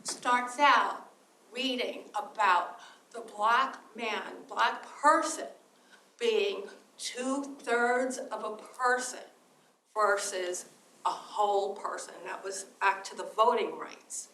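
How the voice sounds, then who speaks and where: A woman's voice: mid-range voice, takes it slowly, sharp tone, a little hiss or hum. A woman from Trinidad asking a question from the audience, hesitant, with many "um"s. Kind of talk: question from the floor. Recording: distant roomy audience pickup.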